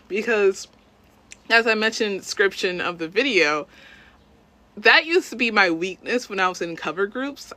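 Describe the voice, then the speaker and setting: Young woman on a live stream, rambly and kinda self-deprecating, bright voice. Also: mid-range voice, no room sound. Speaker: self-taught cover singer and dancer. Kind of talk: casual livestream chat.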